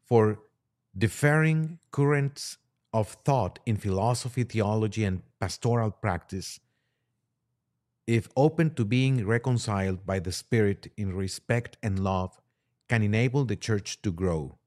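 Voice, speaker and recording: A man discussing religion in a halting, deliberate, explanatory way, as a priest and podcast guest would. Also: fairly low pitch, a little mellow. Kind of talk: religious discussion, reading aloud and commenting.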